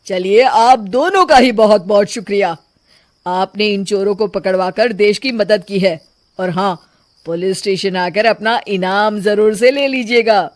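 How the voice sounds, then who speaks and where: A man playing a stern, officious village policeman in an animated story, authoritative and formal. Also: high-pitched, a little slow. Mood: approving. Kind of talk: animated moral story acting.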